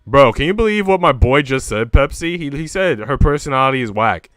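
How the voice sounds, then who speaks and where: Slangy male livestreamer with a clear voice. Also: mid-range voice, expressive, fairly quick, fairly bright tone, no echo, clean studio sound. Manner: slangy, bantering, mock-outraged. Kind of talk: casual livestream chat.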